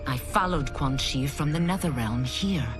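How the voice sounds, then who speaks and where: A woman's voice: rumbling low, expressive, moderate pace. An actress playing a solemn, zealous demon woman seeking redemption in a video game, formal and intense. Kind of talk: video game cutscene acting. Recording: music behind the voice.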